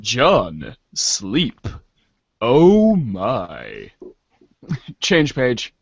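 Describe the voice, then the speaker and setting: A man's voice: mid-range voice, huge pitch swings, drawn-out words, creaky, husky, no room sound. A man narrating as host of a reader's theater, theatrical in the story and casual in asides, on a slightly dull recording.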